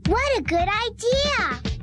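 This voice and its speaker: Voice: very high voice, big pitch swings, slow pace, over music, full character voice. A performer voicing a sweet, trusting, obedient little girl in a children's musical story, with a cute, earnest manner. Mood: trusting, cheerful.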